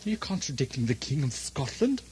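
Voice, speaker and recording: A man's voice: mid-range voice, very animated, moderate pace, a little mellow, lightly acted. An actor playing a guilt-haunted, blustering Scottish king in a comic radio drama, theatrical and stammering, with hysterical outbursts. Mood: crazed, indignant. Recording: noisy vintage radio recording.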